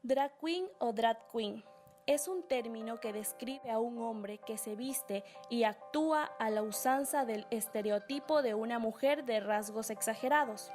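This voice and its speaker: A woman's voice: a little high, measured pace, a little mellow. A woman reading a scripted narration as the narrator of a documentary. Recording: music under the voice. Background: music.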